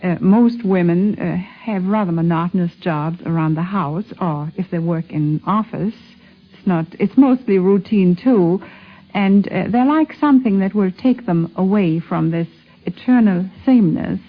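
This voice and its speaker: A woman with a lowish voice gives her opinion in an interview. She explains carefully and hesitates as she goes. The recording is tinny and thin, like old radio over a telephone. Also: unhurried. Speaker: interviewed fan.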